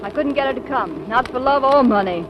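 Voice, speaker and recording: A woman's voice: sits high, lively intonation, brisk, dull audio, noisy background. An actress playing a composed but hesitant young woman in 1940s radio comedy style, on an old broadcast. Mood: apologetic.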